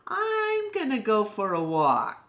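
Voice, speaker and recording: A woman's voice: a little high, wildly swooping pitch, takes it slowly, fairly bright tone, echoey room, voice pushed up, heavily acted. A mischievous, good-humored male elephant from a picture book, as a woman reader puts him on. He speaks cheerfully and theatrically, taking his time over each playful phrase. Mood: restless, scheming.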